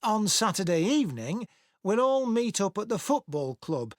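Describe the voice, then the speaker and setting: A man acting a cordial association chairman, giving a clear, measured scripted speech for a listening test. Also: high-pitched, big pitch swings, no echo, studio quality. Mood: cordial, upbeat. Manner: clear, measured public address. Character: cordial male chairman of a town association addressing members.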